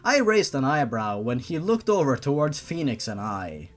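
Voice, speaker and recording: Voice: medium pitch, sing-song, normal speed, soft background music. A narrator reading fan fiction aloud in a theatrical, irreverent style. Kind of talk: dramatic fan fiction reading with mocking asides.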